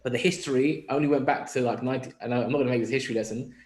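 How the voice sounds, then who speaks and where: An echoey room surrounds this young man with a London accent. He talks at a middle pitch in a casual podcast style, thinking aloud and often putting questions to himself. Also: fairly even intonation, very fast, a little mellow. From London, England. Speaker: podcast speaker. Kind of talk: casual reflective podcast chat. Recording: roomy echo on the voice.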